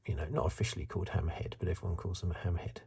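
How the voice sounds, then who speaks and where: A man giving soft-spoken, partly whispered ASMR review commentary in a breathy, hesitant, musing way. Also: deep voice, steady tone, racing. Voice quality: breathy, hushed. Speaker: host of a film review video.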